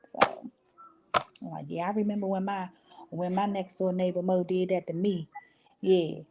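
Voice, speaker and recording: A woman's voice: lowish voice, level tone, moderate pace, noisy background. An American woman speaking casually as a guest in an interview.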